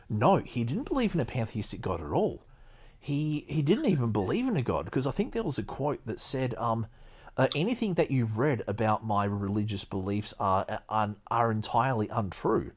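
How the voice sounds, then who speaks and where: A man hosting a podcast, reading aloud and giving casual commentary in a halting, emphatic way. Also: medium pitch, very animated, breathy edge. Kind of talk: casual commentary mixed with read-aloud passages.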